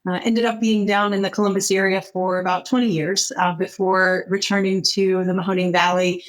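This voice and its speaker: A middle-aged American woman from Ohio, answering as an interview guest in measured, long winding sentences. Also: medium pitch, flat delivery. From United States, Northeast Ohio. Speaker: podcast guest, healthcare technology executive.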